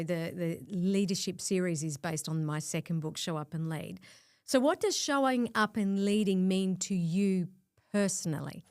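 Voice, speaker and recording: A woman's voice: fairly low pitch, a little mellow, no room sound, studio quality. A woman hosting a leadership podcast, welcoming her guest and affirming his answers. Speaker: podcast host. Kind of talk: interview hosting.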